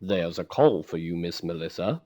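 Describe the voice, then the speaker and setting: A man playing a deferential household butler in an audio drama, formal and measured. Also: sits low, lively intonation, mellow, no room sound.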